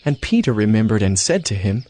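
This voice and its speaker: A man's voice: lowish voice, sing-song, fairly quick, a little mellow. A man narrating scripture from a script, as the storyteller of a dramatized reading. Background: music.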